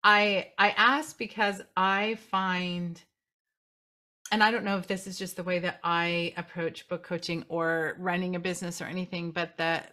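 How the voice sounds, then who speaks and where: A woman's voice: lowish voice, steady tone, moderate pace, sharp tone. A woman interviewing a guest in a casual chat, enthusiastic and often restarting her sentences. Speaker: interviewer and company CEO.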